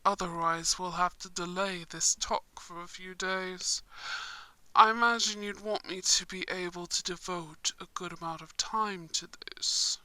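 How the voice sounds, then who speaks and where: Woman voicing a slow, suave vampire prince. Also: on the low side, fairly even intonation, bright, forceful tone, no echo, voice pushed down, low-quality recording. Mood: courtly, considering. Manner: formal, measured, suave phrasing. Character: courtly, smug, romantic young male vampire prince. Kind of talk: scripted roleplay ASMR.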